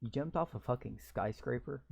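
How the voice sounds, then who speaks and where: A man's voice: mid-range voice, normal speed, vocal fry, husky, no echo. A man playing a visual novel, reading its text aloud and breaking in with sarcastic, swearing comments. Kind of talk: reading game text aloud with live reactions.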